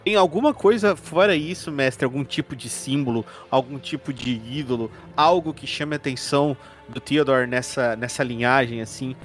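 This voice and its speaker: A man with a Brazilian accent playing a tabletop horror RPG, improvising his character's actions in a joking, halting way. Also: mid-range voice. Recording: music playing behind the voice.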